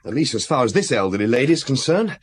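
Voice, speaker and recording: An actor playing a deferential young police detective with a rueful, self-mocking manner in a radio drama. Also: male voice, medium pitch, big pitch swings, fast, breathy edge. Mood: rueful, wry.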